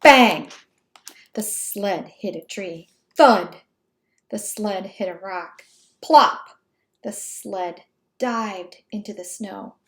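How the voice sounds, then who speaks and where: A woman reading a children's storybook aloud in an expressive, emphatic narrating style. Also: mid-range voice, very slow, long pauses. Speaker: storybook reader.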